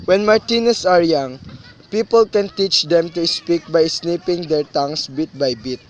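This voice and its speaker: A man's voice: on the high side, measured pace, lightly acted, low-quality recording. A man in a student radio drama, playing a grave, superstitious young cousin who speaks solemnly and cryptically. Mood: explaining gravely.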